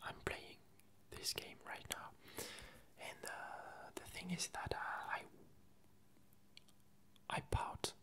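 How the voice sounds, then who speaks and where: An ASMR creator chatting casually in a breathy, unvoiced whisper, in a halting, rambling way with frequent self-corrections. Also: fairly bright tone.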